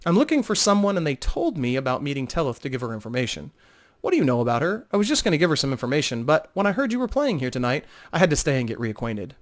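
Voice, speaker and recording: A man's voice: fairly high pitch, big pitch swings, fast talker. A man voicing a flirtatious, playful woman adventurer on a secret mission in a dramatic fantasy audiobook reading. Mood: playful, confiding.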